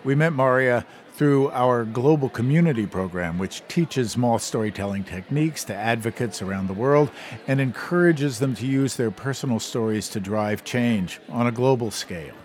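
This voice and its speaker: A man hosting a radio show, reading scripted introductions in a measured, even way. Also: mid-range voice, a little hiss or hum. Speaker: radio host. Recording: narration over clear music bed.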